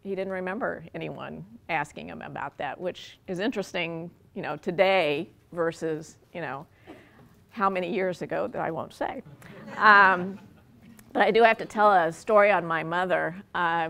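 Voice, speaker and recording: An older woman with an American accent speaks in a slightly low voice with plenty of creak. She is giving an informal personal lecture. Her manner is wry and self-deprecating. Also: a bit bright. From Dallas, Texas. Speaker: university speaker. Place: lecture room with audience, indoors.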